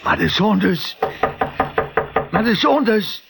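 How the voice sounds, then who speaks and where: A man's voice: sits high, lively intonation, slow, gravelly, heavily acted. A man playing an anxious father, urgent and pleading, in 1940s radio drama style. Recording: very noisy old radio recording.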